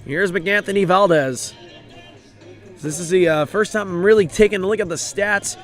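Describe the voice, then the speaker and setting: Raspy, hoarse baseball play-by-play man. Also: sits high, normal speed, faint music behind. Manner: steady, informative, rises with the action. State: hoarse, voice drained. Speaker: play-by-play announcer. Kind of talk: live baseball play-by-play commentary.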